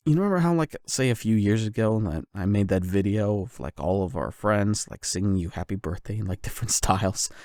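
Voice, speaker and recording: A man performing an intimate confession roleplay as a nervous, lovestruck young man, speaking in a halting, self-doubting, conversational way into a close microphone. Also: on the low side, a little mellow, no room sound. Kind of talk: emotional confession roleplay, ASMR-style monologue.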